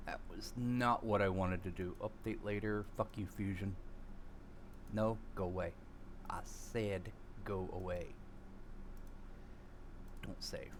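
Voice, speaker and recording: Low-voiced nasal man muttering casually. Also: steady tone, slow pace, long pauses, background noise. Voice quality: nasal on recordings. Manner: muttering at his computer, low-key. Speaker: streamer. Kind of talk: casual live-stream chat.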